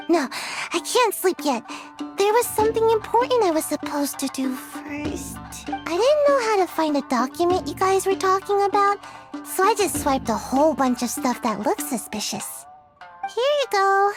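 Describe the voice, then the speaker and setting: A woman voicing a sleepy, worn-out little child in an anime-style video game, with drowsy drawn-out delivery. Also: high voice.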